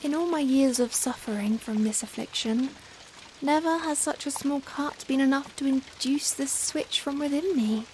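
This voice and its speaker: A woman playing a young, genteel Victorian vampire in a soft-spoken ASMR roleplay, with formal old-fashioned phrasing and hesitant pauses. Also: sits high, lightly acted, low-quality recording. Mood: sombre, confessional. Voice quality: soft-spoken, breathy in hushed moments. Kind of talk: ASMR roleplay, softly spoken dramatic monologue. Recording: faint rain-like background noise. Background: rain ambience.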